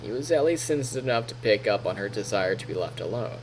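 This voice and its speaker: A man, a streamer reading a visual novel aloud in an expressive storytelling style with casual asides. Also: on the low side, quick pace, slight background noise.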